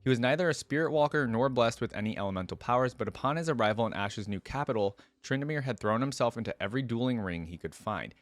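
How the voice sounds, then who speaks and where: A man's voice: mid-range voice, lively intonation, quick pace, few pauses, dry recording. A man hosting a reaction video, talking casually and thinking aloud as he comments and reads text out.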